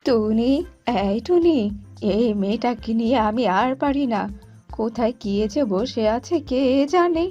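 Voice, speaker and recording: A woman's voice: fairly high pitch, animated, a little slow, mellow, highs cut off, soft background music. A woman voicing an exasperated cartoon mother bird, nagging and full of strict threats.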